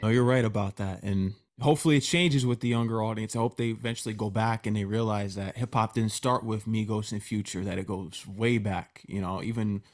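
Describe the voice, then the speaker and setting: Low-voiced male interviewer. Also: steady tone, fairly quick, few pauses. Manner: conversational, long rambling questions. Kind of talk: interview questions.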